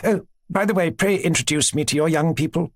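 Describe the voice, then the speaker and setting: A man's voice: on the high side, a little fast, dry recording, studio quality, voice pushed up, lightly acted. A man reading aloud, voicing a chatty aristocratic princess who talks insistently, as though someone were contradicting her. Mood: gracious. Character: chatty aristocratic woman, princess, strict mother.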